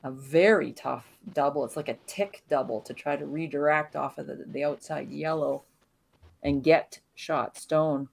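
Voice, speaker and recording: A woman giving calm, analytical live commentary on a curling match. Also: low voice, vocal fry. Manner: calm, analytical play-by-play with pauses.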